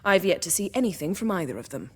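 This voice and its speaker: Haughty, low-voiced sorceress. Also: female voice, big pitch swings, fast, breathy edge, big-room sound. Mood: impatient. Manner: commanding, haughty, clipped formal diction. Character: imperious, secretive, sharp-tongued adult sorceress. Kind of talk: video game voice acting.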